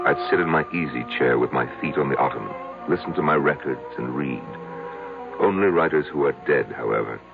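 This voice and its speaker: Very deep, gravelly, halting broken man. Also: exaggerated voice. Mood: quiet, matter-of-fact. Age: middle-aged. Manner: halting, hesitant, repeating himself. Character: petty, self-loathing, lonely, betrayed husband confessing to his psychiatrist. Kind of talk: radio drama acting. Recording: tinny, band-limited old radio broadcast.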